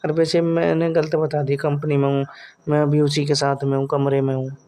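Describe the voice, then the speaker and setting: A young man talks in a mellow voice on a phone call. He is casual and goading, as if needling a partner, over faint background noise. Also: a little high, completely flat delivery, normal speed. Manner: teasing, goading. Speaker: caller chatting with partner.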